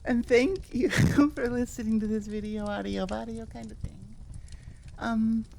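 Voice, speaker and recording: American female audio creator for a casual outro: informal, chatty, rambling, creaky edge, faint background noise. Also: on the high side, fairly even intonation, unhurried, a little mellow. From United States. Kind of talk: casual outro chat to listeners.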